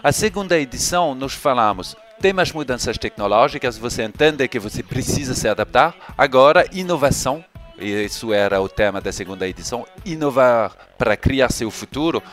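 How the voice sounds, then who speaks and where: French man speaking Portuguese, measured. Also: medium pitch, expressive, a bit bright, faint music behind. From France. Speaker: guest, event curator. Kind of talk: podcast interview.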